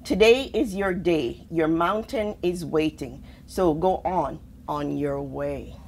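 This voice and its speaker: A woman reading a children's picture book aloud in a deliberate, expressive way, with pauses between phrases. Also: deep voice, a little hiss or hum. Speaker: storybook reader, army officer.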